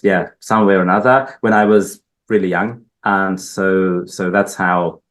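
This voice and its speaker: A man's voice: sits low, steady tone, measured pace, fairly bright tone. A man from Hungary, a linguist answering interview questions, talking hesitantly with many fillers and restarts. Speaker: linguist guest. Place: research institute building.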